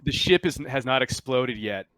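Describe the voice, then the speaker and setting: A man's voice: medium pitch, expressive, moderate pace, fairly bright tone, clean tone. A man narrating a tabletop roleplaying game as game master, in a casual, improvised way.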